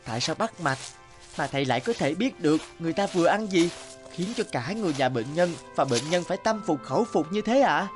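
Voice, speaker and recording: An actor plays a naive boy apprentice in a cartoon. He is eager, respectful and full of curious admiration. Music plays clearly behind him. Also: mid-range voice, animated, a little fast, natural voice. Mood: admiring. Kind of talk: Vietnamese cartoon voice acting.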